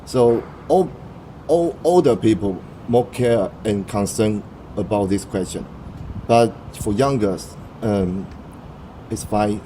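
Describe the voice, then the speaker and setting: A young man with a Taiwanese accent answers interview questions in English, his first language being Mandarin. His voice sits low and sounds dark and mellow. He halts and restarts often while he searches for words. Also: takes it slowly, slight background noise, poor audio. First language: Mandarin Chinese.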